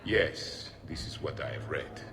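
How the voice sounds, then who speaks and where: An actor playing a proud, blunt, grim ancient male alien warrior in a video game, speaking in terse, deliberate pronouncements. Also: rumbling low, over loud music. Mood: solemn, measured. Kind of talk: video game character acting.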